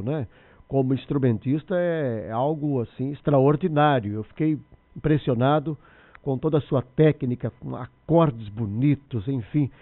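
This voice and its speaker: A man's voice: medium pitch, no echo. A man hosting a radio show, interviewing a guest with effusive praise. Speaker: radio host. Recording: tinny dry radio sound.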